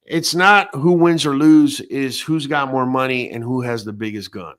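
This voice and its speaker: A man's voice: mid-range voice, moderate pace, fairly bright tone, clean tone. A man born in Venezuela, hosting a late-night talk show and giving blunt, profane opinions in a casual ranting way. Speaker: host.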